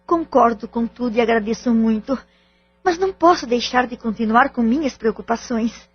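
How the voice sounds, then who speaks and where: A worried, protective mother played by a woman speaks in polite, formal audio drama style. Her voice is rather high and husky, with an anxious manner. The sound is dull and lo-fi. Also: moderate pace.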